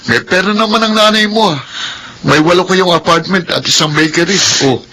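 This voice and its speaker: A man's voice: high-pitched, fairly quick, pushed and bright, rough recording. An actor playing a callous, sarcastic estranged father in a Filipino radio drama, curt and dismissive. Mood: cold, dismissive.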